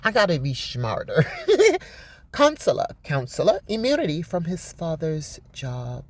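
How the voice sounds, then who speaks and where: A woman with a deep voice giving blunt, profane, rambling commentary on a news story, laughing often. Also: wildly swooping pitch, unhurried. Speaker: vlogger.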